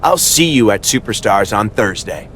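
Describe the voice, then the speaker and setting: A man's voice: lowish voice, lively intonation, normal speed, a bit bright, a little hiss or hum. A man playing a wry, wisecracking wrestler in a video game cutscene. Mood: cocky.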